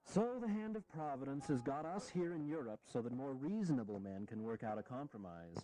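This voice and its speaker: A man acts out an aging American statesman of the founding era in a historical audio drama. His mellow voice moves freely up and down, wry and self-deprecating, with blunt conviction underneath. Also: medium pitch, animated, fairly quick, close to an everyday voice, low-quality recording. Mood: impassioned. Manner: sardonic, earnest, blunt, given to chuckling.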